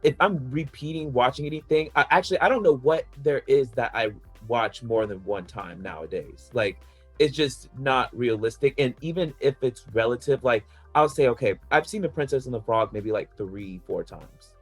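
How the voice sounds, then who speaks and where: A man's voice: medium pitch, moderate pace, slightly rough, soft background music. A man chatting casually on a podcast panel, rambling through his opinions with lots of "like" fillers and the odd laugh. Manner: rambling, heavy use of "like" fillers; laughs between points.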